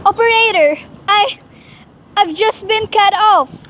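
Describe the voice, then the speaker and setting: A woman's voice: extremely high pitch, slow pace, fairly bright tone, a little hiss or hum, full character voice. A woman acting a nervous, frail and demanding wife in a student radio play, fretful and insistent. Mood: horrified.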